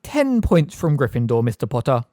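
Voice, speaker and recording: A man reading an audiobook voices a pompous, sweetly girlish witch teacher who talks slowly and with chilly precision. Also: mid-range voice, sing-song, warm tone, no echo, clean studio sound, voice pushed up, lightly acted. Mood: sugary stern. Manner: prim, sweetly girlish, slow and deliberate. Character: sugary, cold, pompous middle-aged witch teacher.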